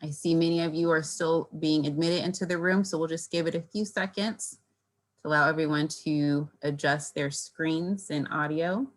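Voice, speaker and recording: A woman with a lowish voice opens a webinar with polite, measured announcements. She keeps things calm and orderly. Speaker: webinar moderator. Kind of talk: opening announcements.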